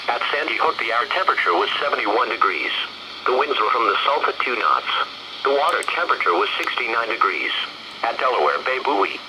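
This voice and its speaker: A synthesized male voice reading a scripted weather bulletin in flat, measured short phrases over weather radio. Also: mid-range voice. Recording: very noisy weather radio broadcast.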